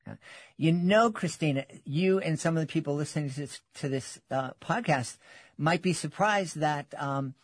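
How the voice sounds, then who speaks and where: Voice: medium pitch, normal speed, no room sound. A podcast host interviewing a guest in casual talk, laughing between questions. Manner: friendly, laughs while talking.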